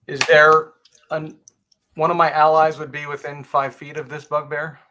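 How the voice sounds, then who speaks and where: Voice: mid-range voice, flat delivery, moderate pace, bright, forceful tone. A player in a tabletop roleplaying game, speaking casually and improvising, on a noisy mic with clicks.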